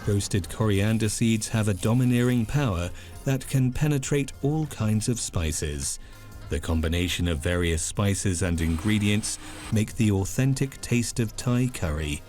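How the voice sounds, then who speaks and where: Male documentary voice-over, measured and calm, slightly low, music bed. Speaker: documentary narrator. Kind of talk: scripted narration. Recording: music underneath.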